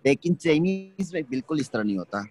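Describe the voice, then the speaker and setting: A man teaching an online language class, explaining slowly and checking that students follow. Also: on the high side, sing-song, a little mellow. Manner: patient, explains slowly, checks understanding often. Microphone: video call.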